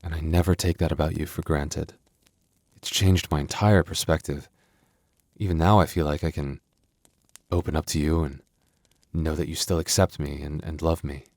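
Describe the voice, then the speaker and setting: Guy doing a super low soft werewolf boyfriend for asmr, earnest n kinda stammery, really dry close sound. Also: steady tone, moderate pace, no echo. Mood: regretful, vulnerable. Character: gentle young male werewolf boyfriend with regretful past. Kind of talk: intimate ASMR boyfriend roleplay.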